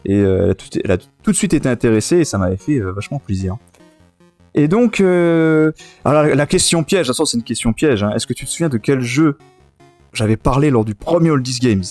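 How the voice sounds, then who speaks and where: A man's voice: medium pitch, lively intonation, brisk, a little mellow, soft background music. A man in his thirties hosting a casual podcast chat, enthusiastic and often repeating himself. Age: 30s. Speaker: podcast and web radio host. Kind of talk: casual chat, reminiscing about retro gaming.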